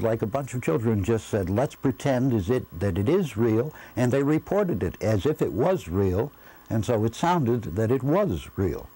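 Male director, sixties, soft-edged mellow tone, mid pitch, explanatory interview. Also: poor audio. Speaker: radio drama director, interview guest. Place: indoor seated interview.

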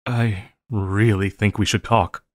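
Man acting a deep, slow, lovesick villain. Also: steady tone, no echo, studio quality. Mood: pleading, remorseful. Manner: halting, pleading, soft-spoken endearments. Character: lovesick, possessive yandere supervillain pleading with his beloved hero. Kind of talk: intimate audio roleplay acting.

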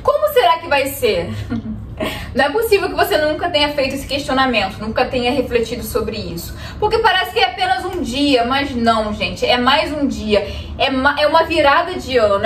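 A woman in her twenties, a vlogger talking earnestly to the camera with soft laughs between thoughts. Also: high-pitched, slight background noise. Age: 20s. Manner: earnest, gestures constantly, often laughs softly. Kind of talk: reflective talk to camera. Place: room in front of a bookshelf, indoors. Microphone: camera a few steps away. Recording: echoey room, mic at a distance.

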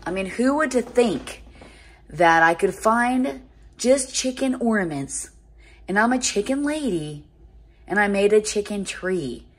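A woman's voice: mid-range voice, expressive, measured pace, husky. A woman in her fifties chatting to her phone camera in a home kitchen, gushing over decorations in a casual vlog. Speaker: vlogger. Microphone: phone held at arm's length.

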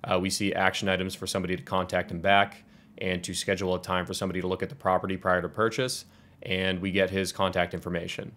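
A man in his twenties with a low voice and an American accent walks through a programming tutorial. He explains each step in order, in a clear instructional style. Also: little pitch movement, quick pace, fairly bright tone. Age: 20s. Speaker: tutorial presenter. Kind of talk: scripted software tutorial walkthrough.

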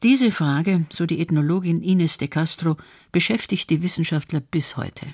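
A woman's voice: fairly low pitch, normal speed, a little mellow, dry recording. Dry studio documentary narrator, a woman.

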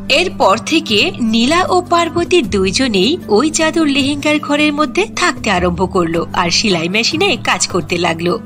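Female narrator for cartoon fairy tales, high-pitched and very expressive. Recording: music behind the voice.

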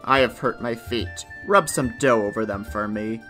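A man voicing a sly, menacing wolf with a rough voice in a storybook tale, over music. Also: on the low side, moderate pace, a bit bright, natural voice. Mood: demanding. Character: sly, menacing wolf pretending to be mother goat.

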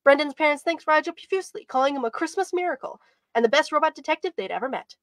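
A woman's voice: sits high, expressive, fast, dry recording. A young woman hosting a video stream and reading stories aloud in a storybook style. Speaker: host and writing instructor. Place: indoors by bookshelves, on a video stream.

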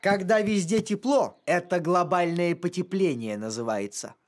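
A man voicing an earnest, fretful male dog who cares about nature in an animated cartoon. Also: high-pitched, moderate pace, lightly acted. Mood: explaining. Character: earnest, worried male talking dog, nature-minded tinkerer.

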